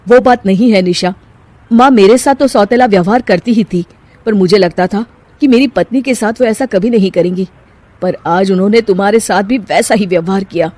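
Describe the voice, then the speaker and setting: A woman voicing a gentle, long-suffering young husband in a cartoon family drama, mild and earnest. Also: mid-range voice, moderate pace, close to an everyday voice. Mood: hurt.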